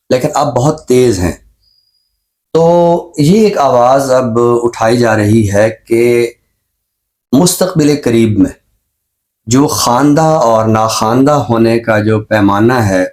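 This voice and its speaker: A man with a Pakistani accent and a mid-pitched voice speaks as an expert on a talk show. He reflects aloud in measured phrases. He builds each point through repetition and everyday examples. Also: darkish tone. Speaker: expert guest on a literary and social talk show. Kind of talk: reflective discussion.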